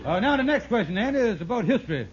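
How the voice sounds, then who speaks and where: A man's voice: high voice, sing-song, fast talker, breathy edge, heavily acted. An actor playing a scheming, blustering husband and con man with poor grammar in an old radio sitcom. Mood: blustering, slick. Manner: blustering, hesitant, ungrammatical. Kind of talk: mid-century radio sitcom acting. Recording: muffled, noisy vintage radio recording.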